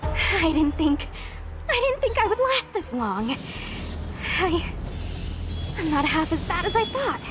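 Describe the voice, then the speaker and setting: A very high, animated young female knight over music. She is earnest, stubborn and spirited, in video game acting style. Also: takes it slowly, long pauses, heavily acted. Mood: defiant.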